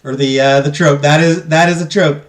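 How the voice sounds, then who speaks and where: A man's voice: fairly high pitch, fairly even intonation, moderate pace. A man casually commenting while playing a game, joking, swearing and talking to his viewers. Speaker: let's play host.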